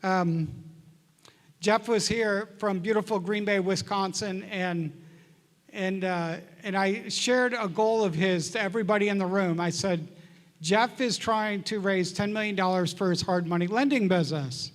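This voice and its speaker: A man's voice: high-pitched, unhurried, in a large hall. A man hosting a live seminar, introducing the next speaker with a chatty story that builds up to applause. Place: large seminar room.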